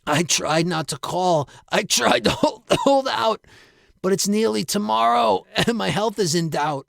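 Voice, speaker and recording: An ailing old storybook groundhog , acted out by a man, pitched well up high. He is anxious and pleading, with a strained, halting delivery. The sound is close and dry. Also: lively intonation, gravelly, a bit bright, no echo, exaggerated voice. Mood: pleading, sickly.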